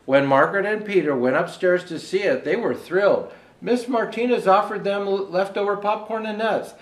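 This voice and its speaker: A man reading a children's storybook aloud as Santa, reading haltingly and pausing for asides to show the pictures. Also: high voice, reverberant, low-quality recording. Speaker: storyteller presenting as Santa Claus.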